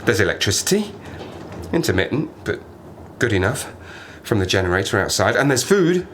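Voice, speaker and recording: An actor playing a stranded Arctic survivor in an audio play, a sardonic, haunted man who talks haltingly and rambles in agitation. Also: fairly low pitch, very animated, a bit bright. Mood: wry, rambling. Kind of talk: dramatic audio play monologue. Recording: noisy ambience behind the voice.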